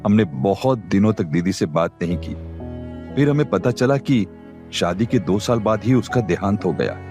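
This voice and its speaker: An actor playing an affectionate, wistful older uncle in an audio drama, speaking hesitantly. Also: male voice, fairly low pitch, expressive, moderate pace, music in the background, close to an everyday voice. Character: warm older uncle, wistful, searching for family. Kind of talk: Hindi audio drama acting.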